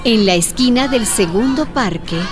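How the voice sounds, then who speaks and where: A woman narrating a radio drama in a measured, dramatic storytelling manner. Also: a little high, expressive. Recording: narration over music and crowd noise.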